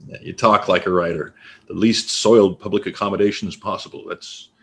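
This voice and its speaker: Man playing a nosy, husky-voiced, low-pitched talker. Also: steady tone, brisk, heavily acted. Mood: chatty, curious. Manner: probing, needling, conversational. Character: nosy, teasing former literary agent, a talkative male stranger. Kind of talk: naturalistic stage play dialogue.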